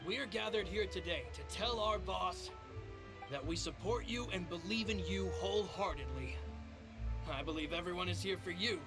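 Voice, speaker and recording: A man's voice: sits high, normal speed, over music. An actor playing a loyal, polite male aide in an anime video game, formal and reassuring. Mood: earnest, supportive.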